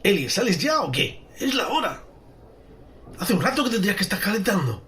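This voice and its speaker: A man's voice: high voice, very animated, fairly quick, fairly bright tone. A man playing a demanding but concerned sports coach in an audio drama. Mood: impatient. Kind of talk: acted audio drama dialogue. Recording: noticeable background noise.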